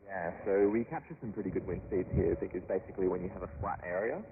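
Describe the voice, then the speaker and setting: A man's voice: sits low, fast talker. A man explaining things conversationally in an interview as a company spokesman. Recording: noisy narrowband shortwave radio.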